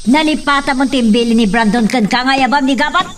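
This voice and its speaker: An actress plays a commanding Ilocano woman in a radio-style drama. Her voice sits fairly high and has a stern edge. It is picked up away from the mic over faint background noise. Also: a little fast, far from the mic, close to an everyday voice. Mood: stern, demanding.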